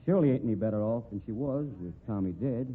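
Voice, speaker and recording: An actor with an American accent playing a dogged, folksy western amateur sleuth in old radio drama, reasoning in rustic grammar. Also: male voice, lowish voice, expressive, fast talker. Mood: reasoning, firm. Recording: tinny, narrow-band old radio recording.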